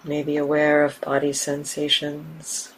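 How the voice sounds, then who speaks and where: A woman teaching a guided meditation in a gentle, unhurried manner, leaving long pauses between short phrases. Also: low-pitched, low-quality recording. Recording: steady background hiss and room ambience.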